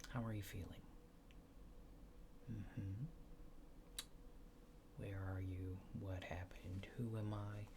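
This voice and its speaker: Guy doing a chill alien fixer for asmr, super slow n soft, kinda hesitant and dry, noisy background. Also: lowish voice. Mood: calm, reassuring. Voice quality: soft, often near whisper. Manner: hesitant, understated, dryly matter-of-fact. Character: laid-back, faintly apologetic male alien fixer. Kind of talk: soft-spoken ASMR roleplay.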